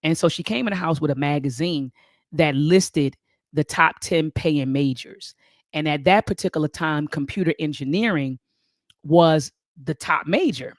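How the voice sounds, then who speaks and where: A woman's voice: sits low, normal speed, dry recording, studio quality. A middle-aged woman with an American accent, telling personal stories conversationally as an interview guest, emphatic, repeating phrases and checking in with listeners. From Baltimore County, Maryland, United States. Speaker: interview guest, spiritual speaker.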